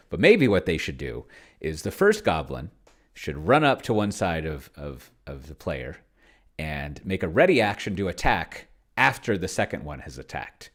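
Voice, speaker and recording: A man casually thinking aloud as he hosts a tabletop game session, musing and halting as he goes. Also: low-pitched. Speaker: host playing a tabletop roleplaying game with an AI game master.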